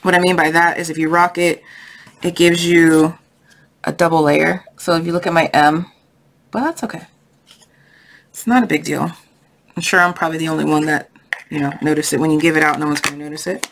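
A woman's voice: fairly low pitch, normal speed, long pauses, fairly bright tone. A woman hosting a casual craft tutorial, thinking aloud in a chatty, easygoing, unscripted way. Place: indoors at a craft table. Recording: noisy home recording with clicks.

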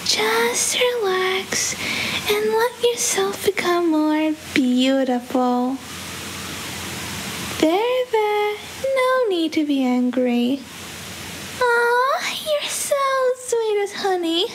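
A woman's voice: very high-pitched, sing-song, drawn-out words, heavily acted. A young woman voicing a manically cheerful, deranged female cartoon villain creature with a gleeful, sinister, coaxing delivery. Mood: sweetly coaxing. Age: young adult. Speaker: amateur voice actor. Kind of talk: theatrical character voice lines. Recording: faint hiss, home recording.

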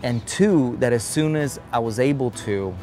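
A man's voice: mid-range voice, normal speed, dark tone. A man in his forties, originally from Guatemala, talking about his life in an outdoor interview, in an earnest, conversational way. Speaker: interviewee, artist. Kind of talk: casual interview talk. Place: outdoor patio in daylight.

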